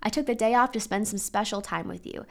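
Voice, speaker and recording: A woman's voice: mid-range voice, a little fast, creaky, natural voice. Woman reading as a tender, forgiving storybook father. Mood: tender, knowing. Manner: tender, patient, reassuring. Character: gentle, loving, forgiving father.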